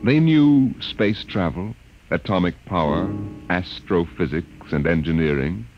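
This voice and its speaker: A man playing a grave, portentous narrator of a space tale, deliberate and dramatic, in old-time radio style. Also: deep voice, lively intonation. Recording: muffled old radio recording, loud music.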